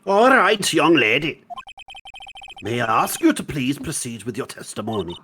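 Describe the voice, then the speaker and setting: A man's voice: mid-range voice, big pitch swings, moderate pace, pushed and bright, a little hiss or hum. A man playing a kindly, gullible older judge in a fan game dub, with a bumbling, solemn courtesy.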